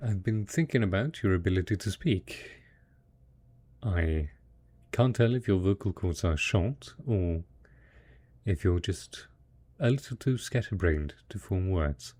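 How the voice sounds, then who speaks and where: Husky, slow-talking devoted husband played by a man. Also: low-pitched, expressive. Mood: hopeful, reassuring. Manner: gentle, coaxing, encouraging, full of endearments. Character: devoted, tender, hopeful husband caring for ghoul spouse after apocalypse. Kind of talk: roleplay audio drama, intimate monologue addressed to listener.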